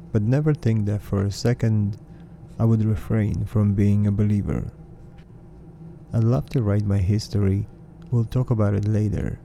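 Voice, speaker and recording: A man in his thirties whose first language is Russian, hosting a podcast and reading his own lyrics in an earnest, measured recitation. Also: fairly low pitch, vocal fry, warm tone, slight background noise. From Russian-speaking background. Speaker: podcast host. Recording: faint music bed behind voice.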